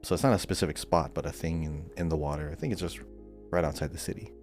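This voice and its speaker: A man with a low voice chats casually as co-host of a gaming podcast. His talk is conversational, and faint music plays underneath. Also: quick pace, a little mellow.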